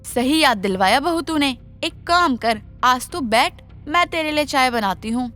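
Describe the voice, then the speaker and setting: A woman's voice: high voice, animated, unhurried, pushed and bright, soft background music, full character voice. A woman voicing a cruel, scheming older mother-in-law in a moral story, bossy and sarcastic as she scolds. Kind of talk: Hindi moral story voice acting.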